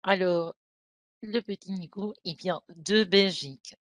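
A woman's voice: fairly low pitch, a little slow, dry recording. A woman in her thirties hosting an informal video call panel and presenting the guests. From lives in Mexico. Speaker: host.

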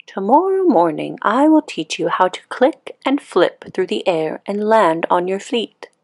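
A woman's voice: mid-range voice, big pitch swings, measured pace, darkish tone, breathy edge, no room sound, clean studio sound, heavily acted. A woman voicing a wise old click beetle, a patient and encouraging teacher in a storybook.

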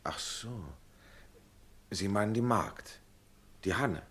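An actor playing a practical, well-spoken gentleman in a 1960s radio play, a courteous old friend giving emphatic advice in a husky voice. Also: lowish voice, slow, exaggerated voice. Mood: probing, advising.

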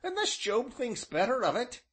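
A wizened, gossipy old countryman, as a male audiobook narrator puts him on, pitched far above the reader's own voice. He drawls and quavers in a thick rural southern English dialect, swooping up and down as he spins his tales. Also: sing-song, moderate pace, a bit bright, husky, heavily acted. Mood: wistful, musing.

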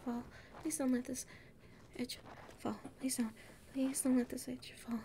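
A weary, anxious heroine of an audio roleplay, acted by a woman, mutters her way through a castle. Her voice is high, soft and breathy. She speaks slowly and haltingly, as if talking to herself. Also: level tone, slight background noise. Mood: panicked. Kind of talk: audio roleplay acting, solo monologue.

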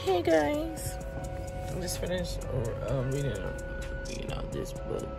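A woman vlogging from inside her car, reading aloud haltingly and chatting straight to her viewers. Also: on the low side, huge pitch swings, gravelly, over loud music. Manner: halting read-aloud, stumbles and restarts; chatty, talks directly to viewers. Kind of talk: casual vlog, reading aloud.

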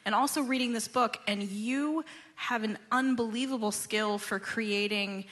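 Female radio host, fairly high and bright, conducting a casual interview. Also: measured pace.

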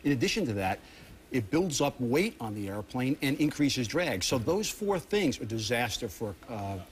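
American guy in middle age explaining technical stuff on live tv, emphatic, mid pitch, faint noise. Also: sing-song, moderate pace. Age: middle-aged. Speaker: aviation expert guest. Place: television news studio.

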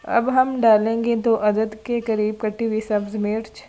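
A woman calmly narrating a home cooking recipe step by step as host of her cooking channel. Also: on the high side, unhurried, dull audio.

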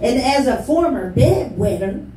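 A woman's voice: high voice, animated, unhurried. A woman doing a profane, crowd-roasting stand-up set at an open mic in a small barbershop venue, heard from the back of the room. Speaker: stand-up comic. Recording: roomy, from far off, crowd behind.

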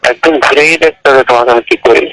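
A man's voice: medium pitch, fairly even intonation, fast talker. A man with a Bangladeshi accent talking privately on the phone, insistent and repeating himself to press his point. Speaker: teacher. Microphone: telephone. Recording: noisy phone call recording with clicks.

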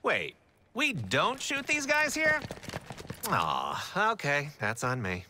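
Game villain clown: young, manic and mocking, high bright voice with slow, relished delivery. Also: male voice, wildly swooping pitch, slight background noise, heavily acted. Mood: giddy, mocking. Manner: gleeful, mocking, melodramatic. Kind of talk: video game cutscene acting.

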